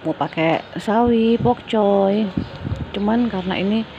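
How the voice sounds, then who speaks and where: A woman from Papua, casually demonstrating a recipe in her home kitchen, friendly and explanatory with frequent hesitant pauses. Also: medium pitch, lo-fi sound, a little hiss or hum. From Papua, Indonesia. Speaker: cooking vlogger.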